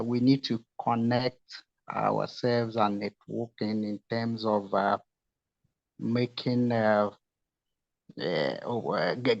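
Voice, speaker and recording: A man from Nigeria introduces himself as a community change maker, haltingly and with many fillers. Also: mid-range voice, vocal fry, no room sound. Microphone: video call. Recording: dry muffled video call audio.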